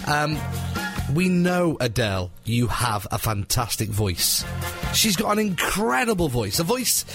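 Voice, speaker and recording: Man, radio presenter, lively swooping voice, chatty, slightly high. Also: unhurried, over music. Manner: chatty, laughs often, teasing. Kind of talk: casual phone-in radio chat.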